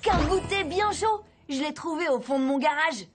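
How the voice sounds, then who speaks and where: A woman's voice: sits high, lively intonation, normal speed, faint music behind. A woman voicing a cheeky, upbeat schoolboy who leads his friends' schemes in a French-dubbed cartoon. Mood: scheming, upbeat.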